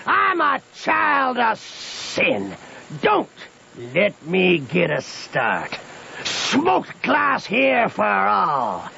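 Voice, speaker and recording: A man's voice: sits high, huge pitch swings, extremely slow, creaky, bright, forceful tone, a little hiss or hum, heavily acted. An actor playing a swaggering, quarrelsome raftsman who proclaims grandiose boasts in a bombastic old-time radio style. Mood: grandiose boasting. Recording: muffled old radio recording.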